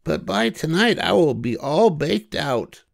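An older man voicing a cheerful, literal-minded young maid in a high, earnest sing-song. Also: big pitch swings, measured pace, creaky, dry recording, studio quality, heavily acted.

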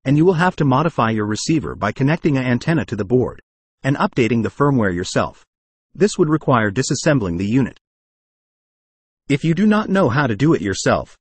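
A man with a husky voice reads a scripted product review in a formal, explanatory way. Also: mid-range voice, no room sound. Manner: formal, read-aloud explanatory delivery.

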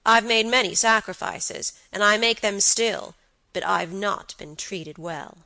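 A woman's voice: mid-range voice, moderate pace, sharp tone, dry recording, low-quality recording. A woman voicing a peevish, self-pitying old grandfather in a storybook, plaintive and apologetic. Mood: peevish, tearful. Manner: plaintive, murmuring, repeats himself.

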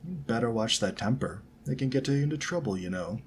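A man's voice: mid-range voice, animated, a little fast, a little hiss or hum, natural voice. A man voicing a haughty, condescending rich schoolboy bully with a lazy drawl, in a storybook reading. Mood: threatening.

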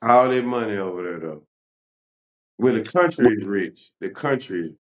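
A man's voice: lowish voice, animated, a little slow, rough recording. A man interviewing in a casual, slangy way, often repeating his phrases. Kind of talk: casual chat.

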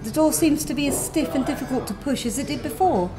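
A woman reading her own first-person story aloud in a gentle, wry manner. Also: high voice, fast. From England. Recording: music playing behind.

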